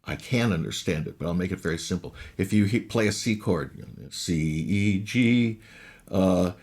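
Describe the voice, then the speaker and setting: Give me a low, husky-voiced man in his sixties who chats as an interview guest and explains things at length. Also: expressive, normal speed, a little mellow. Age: 60s. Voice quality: raspy. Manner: explains at length, with many restarts. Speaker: guest, ghost hunter and recording engineer. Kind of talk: casual interview chat.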